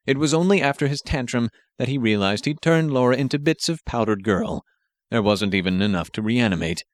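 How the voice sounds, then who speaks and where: A man's voice: lowish voice, no echo, studio quality. A man narrating an action story as a scripted audiobook reading.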